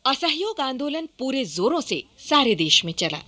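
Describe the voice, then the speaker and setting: A woman narrating a formal, scripted radio documentary in an earnest, clearly enunciated way. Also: high voice, very animated, normal speed, heavy background noise.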